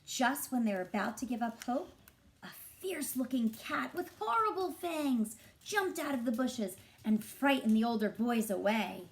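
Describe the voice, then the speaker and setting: Animated, high-voiced woman reading a storybook. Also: unhurried. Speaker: teacher reading a picture book aloud. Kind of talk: expressive storybook narration for children.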